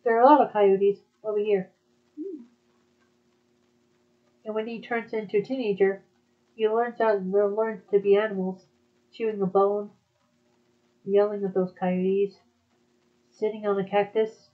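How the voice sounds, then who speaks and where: A woman's voice: medium pitch, fairly even intonation, unhurried, pauses often. A woman talking in a casual back-and-forth conversation. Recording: dull, muffled lo-fi audio.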